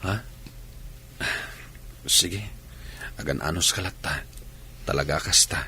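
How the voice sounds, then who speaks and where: A man with an Ilocano Filipino accent playing a patient, devoted, gentle young husband in a radio drama. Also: very deep voice, slow pace, a little hiss or hum, exaggerated voice. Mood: patient, soothing.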